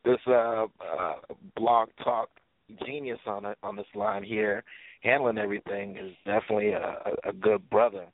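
A man's voice: medium pitch, very animated, normal speed, gravelly, no echo. A man chatting casually and effusively as a guest caller, repeating himself like a hype man. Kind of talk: casual promotional chat. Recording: tinny phone line.

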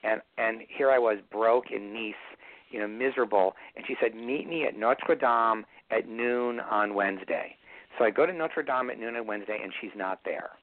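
Lowish-voiced guy rambling and cracking jokes, thin phone sound. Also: moderate pace, no room sound. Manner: joking, rambling and chatty. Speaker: guest. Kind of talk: casual storytelling chat. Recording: tinny dry phone line.

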